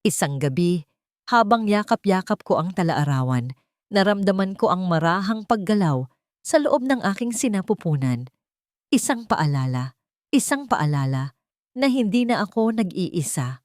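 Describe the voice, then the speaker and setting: A woman with a Filipino accent narrating a scripted first-person drama in measured, deliberate phrases. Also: mid-range voice, very animated, darkish tone, dry recording, clean studio sound. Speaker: story narrator.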